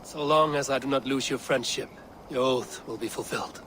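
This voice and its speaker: An actor playing a fierce, resolute and vengeful Viking war leader in a dramatic video game scene. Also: male voice, mid-range voice, brisk, noisy background, close to an everyday voice. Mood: grave, resolute.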